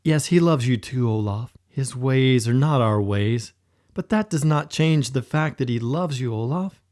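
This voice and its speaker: A man voicing a devout boy quietly consoling his grieving friend. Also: medium pitch, measured pace, lightly acted. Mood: tender. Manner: soft, tender and consoling. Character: gentle, devout boy, caring best friend.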